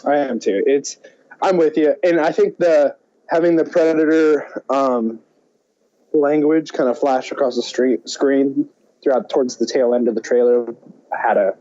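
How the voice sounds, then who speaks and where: A man's voice: medium pitch, measured pace, mellow. A man chatting casually as a podcast co-host, rambling and often restarting his sentences. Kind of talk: casual chat. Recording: dull lo-fi audio.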